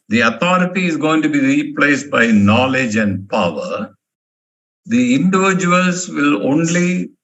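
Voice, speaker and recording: A man's voice: on the high side, creaky, fairly bright tone, rough recording. A male professor from India giving a motivational management lecture, emphatic and fond of repeating key words. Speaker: professor giving a guest talk.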